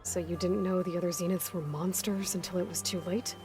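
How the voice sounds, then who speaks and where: Guarded, blunt young heroine, played by an actress. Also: female voice, on the low side, steady tone, a little fast, over music, lightly acted. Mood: accusing. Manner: blunt, questioning. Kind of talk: naturalistic video game cutscene acting.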